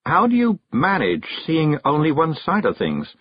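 Man putting on a weary, high-voiced woman witch. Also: sing-song, husky, no room sound. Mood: weary, dreamy. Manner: faint, halting, dreamy murmur. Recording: dull, lo-fi recording.

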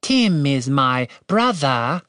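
Woman acting a young female elf, fantasy audiobook, sarcastic, demanding, foul-mouthed, lively pitch, dry recording. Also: normal speed, no echo. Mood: firm, impatient.

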